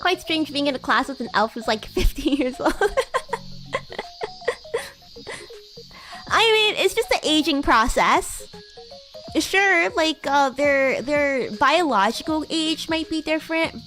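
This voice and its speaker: A woman puts on a young female demon classmate with a high, girlish voice. She is bratty, playful and teasing, rambling in a loose livestream roleplay style. Faint music plays behind her. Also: lively intonation, normal speed, fairly bright tone. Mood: playful explaining. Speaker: VTuber streamer. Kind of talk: improvised in-character roleplay livestream chat.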